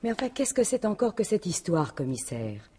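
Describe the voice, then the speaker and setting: An actress playing a proper, easily flustered widow in a French radio mystery in 1950s style, speaking formally and emphatically. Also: female voice, mid-range voice, sing-song, moderate pace, warm tone, slightly rough. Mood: indignant. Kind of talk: French radio drama acting in 1950s manner.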